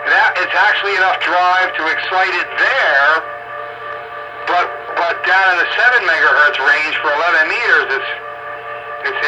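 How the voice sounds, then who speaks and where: A man's voice: fairly high pitch, noisy background. A man in a casual technical radio chat, rambling and thinking aloud as he repeats himself over a radio transmission. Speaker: amateur radio operator.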